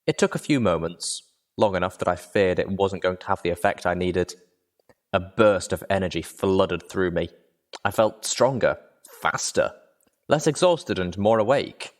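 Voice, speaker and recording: Male audiobook reader, low-pitched, measured, expressive delivery of dramatic first-person fiction.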